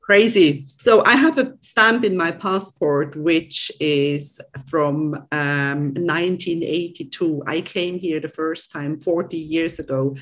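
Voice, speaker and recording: A woman's voice: low-pitched, slow pace, hardly any pauses. A woman, a podcast guest chatting casually with frequent restarts and fillers. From Switzerland. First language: German. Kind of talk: casual conversation.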